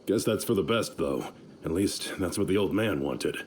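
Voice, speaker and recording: Deep, grim brigand man. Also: lively intonation, normal speed, a little mellow, a little hiss or hum. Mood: cold, resigned. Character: grim, vengeful male desert brigand. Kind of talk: video game voice acting.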